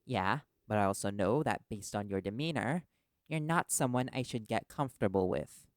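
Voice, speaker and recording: A man's voice: on the low side, expressive, normal speed, dry recording, studio quality, lightly acted. A man playing a stubborn, wary male reporter held captive in an audio drama, speaking in a hesitant yet defiant way. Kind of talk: audio roleplay drama acting.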